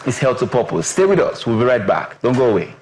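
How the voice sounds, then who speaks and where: Nigerian TV host, even mid voice. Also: male voice, mid-range voice, very noisy. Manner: measured, short affirmations, formal segment links. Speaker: TV talk show host. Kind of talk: studio TV interview.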